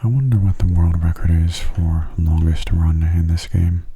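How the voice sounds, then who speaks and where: A man giving gentle, soft-spoken ASMR game commentary in a breathy, near-whispered voice, unhurried and close to the microphone. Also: low voice. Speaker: gaming channel host.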